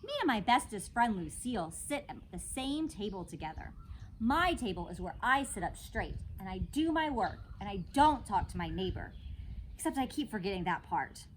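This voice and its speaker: A woman's voice: mid-range voice, big pitch swings, moderate pace, slight background noise, rough recording. A woman reading a children's book aloud as a storytime reader.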